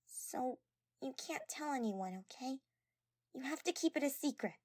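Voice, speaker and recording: A woman voicing a small, shy teenage girl mage in an audio story, low-key, hesitant and solemn about magic. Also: animated, unhurried, darkish tone, no echo, clean studio sound. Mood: shy, secretive.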